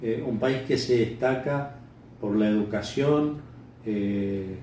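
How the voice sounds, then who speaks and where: A middle-aged Uruguayan man answering interview questions off the cuff, halting and repeating words as he thinks. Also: on the low side, mellow. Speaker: interviewee, bird breeder. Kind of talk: unscripted interview answers, reminiscing. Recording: echoey room, distant mic, faint noise.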